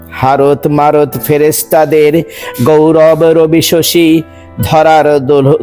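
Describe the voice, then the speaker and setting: A man reciting a poem in a measured, rhythmic, declamatory way. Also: on the high side, warm tone. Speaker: poetry reciter. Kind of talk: dramatic recitation of a Bengali poem.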